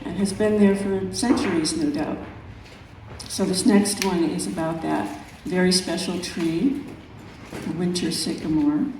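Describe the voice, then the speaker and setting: A woman poet reading her own work at a live event, in measured, deliberate phrases with pauses. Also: on the low side, steady tone, darkish tone, a little hiss or hum. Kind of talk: poetry reading at a live event, with brief spoken introductions. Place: echoey room at an arts event. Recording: very echoey room, distant mic, music.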